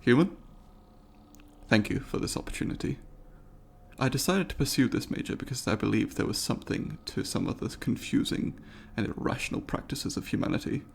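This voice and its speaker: Man voicing a gravelly, courteous alien researcher. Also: mid-range voice, animated, a little hiss or hum. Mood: polite, reflective. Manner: formal, measured, academic wording with deliberate pauses. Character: curious, courteous male alien researcher interviewing a human. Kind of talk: ASMR roleplay, scripted monologue to the listener.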